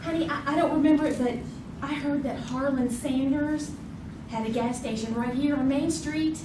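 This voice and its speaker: A woman plays an eager, chatty contestant who fondly calls her partner honey. Her voice is high. It sounds far off and echoey in a theater with audience noise. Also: moderate pace, close to an everyday voice. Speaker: game show contestant in a stage play.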